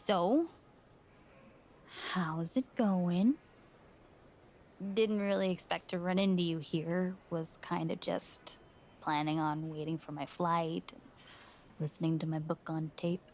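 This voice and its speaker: A woman's voice: lowish voice, fairly even intonation, slow, long pauses, a little mellow, a little hiss or hum. A woman playing a sarcastic, candid friend of a wrestler in a video game cutscene. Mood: awkward, casual.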